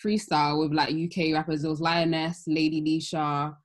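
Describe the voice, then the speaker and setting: A woman's voice: low-pitched, moderate pace. A young woman, chatting animatedly with friends on a podcast and leaning heavily on "like". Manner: animated, heavy use of "like". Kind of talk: casual chat.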